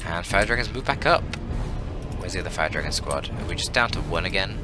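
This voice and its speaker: A man's voice: sits low, lively intonation, a little fast, over loud music. A man giving live strategy game commentary as a caster, analytical and conversational with casual swearing. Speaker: esports caster and channel host.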